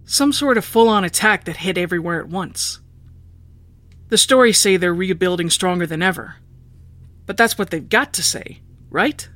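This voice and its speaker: A woman's voice: fairly low pitch, normal speed, fairly bright tone, dry recording, close to an everyday voice. An actress in an audio drama playing a blunt, plain-spoken, tough woman who drills tunnels for a rebellion. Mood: serious, resolute.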